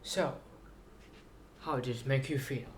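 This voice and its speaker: A man reciting his own poetic story in a solemn, deliberate manner, with broken phrases and long pauses. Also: mid-range voice, a little hiss or hum. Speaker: writer reading own story.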